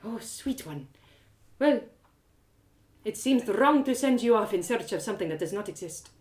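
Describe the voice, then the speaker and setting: A woman acts out a blunt, condescending female advisor in an audio drama. She talks down breezily, with lively pitch, in a roomy space. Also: moderate pace, close to an everyday voice. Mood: patronising sympathy.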